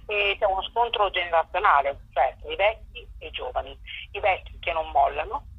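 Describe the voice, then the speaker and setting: A woman with a very rough, husky voice explains in an interview, stopping to rephrase. She comes over a dull, lo-fi speakerphone with little room sound. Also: on the low side, lively intonation, brisk, dry recording. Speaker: stage actress, interview guest. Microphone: phone on speaker.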